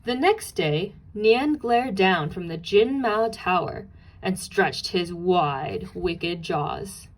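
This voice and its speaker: A woman's voice: fairly low pitch, animated, slow, poor audio. A woman narrating a children's storybook aloud, expressively, with dramatic emphasis on key and repeated words.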